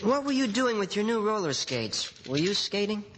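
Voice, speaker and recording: Lively older brother, reverberant radio. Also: male voice, high-pitched, moderate pace, background noise. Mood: concerned, puzzled. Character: practical, reassuring older brother, young man. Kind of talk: old-time radio drama acting. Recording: vintage radio recording with long reverb.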